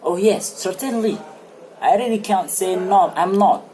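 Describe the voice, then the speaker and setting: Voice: mid-range voice, unhurried, warm tone, a little hiss or hum, voice pushed up, close to an everyday voice, poor audio. An amateur reader playing a talkative, self-satisfied married man in a stage play, with eager, formal phrasing. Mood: earnest, explaining. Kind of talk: amateur dramatic play reading.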